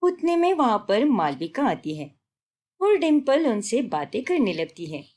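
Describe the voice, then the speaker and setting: A woman's voice: fairly high pitch, big pitch swings, a little slow, darkish tone. A woman narrating a scripted cartoon story as its storyteller.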